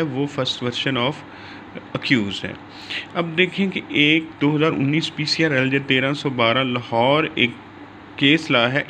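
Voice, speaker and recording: A man with a Pakistani accent giving an explanatory law lecture, mixing in English terms, in halting, repetitive phrasing. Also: a little high, bright, forceful tone, slight background noise.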